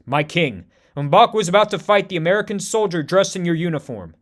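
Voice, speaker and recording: A loyal female general of the royal guard, voiced by a man, urgent and dutiful as she reports. The pitch sits slightly high. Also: animated, a little fast. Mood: alarmed.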